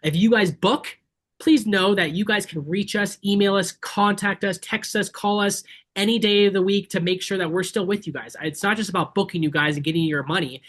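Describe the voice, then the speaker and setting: A man from Kenya giving an informal, earnest and emphatic webinar sales pitch as a safari tour operator. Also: a little fast, fairly bright tone. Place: online video call.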